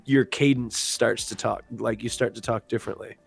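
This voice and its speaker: A man's voice: mid-range voice, steady tone, normal speed, creaky, husky. An American man chatting as a guest on a livestream, teasing and joking and repeating words for fun. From United States. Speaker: guest streamer. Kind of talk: casual chat on a livestream.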